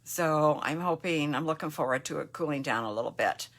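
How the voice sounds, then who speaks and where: A Canadian woman getting over a cold, with a slight cough, chatting casually and warmly with viewers as she hosts a papercraft live stream. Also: low-pitched, a little fast. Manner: chatty and friendly, with fillers and asides. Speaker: papercraft demonstrator.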